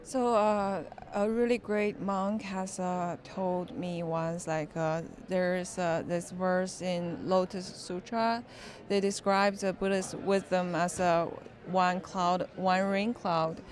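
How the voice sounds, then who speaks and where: Slow, low-pitched woman director. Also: steady tone. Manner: thoughtful, halting, with restarts and "like". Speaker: film director. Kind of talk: interview answers.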